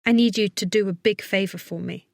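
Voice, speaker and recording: A woman's voice: mid-range voice, brisk, no echo, clean studio sound, lightly acted. A woman voicing a worried but capable woman vet in an audiobook, giving firm, urgent, reassuring instructions. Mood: brisk, urgent. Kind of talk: audiobook character acting.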